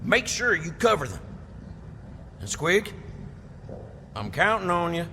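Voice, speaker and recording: A man's voice: sits high, very animated, slow, vocal fry, pushed and bright, slight background noise, exaggerated voice. A man playing a cocky, wisecracking young gunslinger with brash slang in an audio drama. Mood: focused. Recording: long reverb, music behind.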